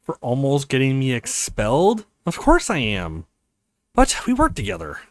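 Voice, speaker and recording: A man reads as a young woman in a visual novel, hurt and coolly reproachful. The phrases are clipped, the pitch swings widely, and the sound is dry. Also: huge pitch swings, normal speed, dry recording.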